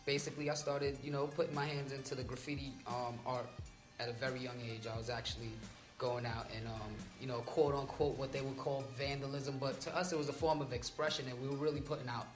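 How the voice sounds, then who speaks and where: A man's voice: mid-range voice, fairly even intonation, quick pace, echoey room, soft background music. A man who grew up in Trinidad, chatting casually as a guest artist in an interview and filling his talk with "you know" and "um". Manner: laid-back, with many fillers.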